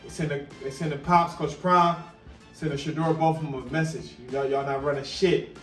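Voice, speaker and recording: A man with a slightly high voice hosts a casual sports commentary video. He talks in slang and repeats his phrases for emphasis. The sound is roomy and distant from the mic, with faint music. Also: steady tone, brisk, a bit bright.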